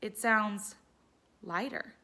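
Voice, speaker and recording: Woman reading as a candid, bright-voiced schoolboy. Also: medium pitch, drawn-out words. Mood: wonder. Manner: simple, candid. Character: earnest young schoolboy, wide-eyed and candid.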